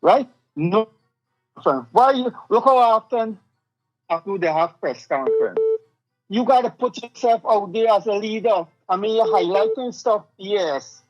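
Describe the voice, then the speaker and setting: A man's voice: high voice, animated, unhurried. A man holds his ground in a loose political debate, answering firmly. His sound is dull and lo-fi, with faint music underneath. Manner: firm, argues back.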